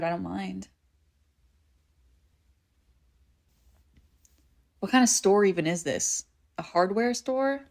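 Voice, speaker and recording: A woman performs a devoted young woman surviving a zombie apocalypse in an intimate audio drama. She is clingy and emotional, talking closely to her one friend. Her voice sits at an average pitch for a woman, with a conversational, insistent manner. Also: gravelly. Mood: subdued, resigned. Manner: emotional, pleading, swinging between insistent and hushed. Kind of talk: scripted audio roleplay acting, intimate and conversational.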